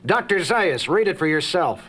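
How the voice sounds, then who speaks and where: An actor playing a brash, excitable young male ape in a record audio drama, with a gravelly voice. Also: high-pitched, moderate pace, heavy background noise. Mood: urgent, brisk.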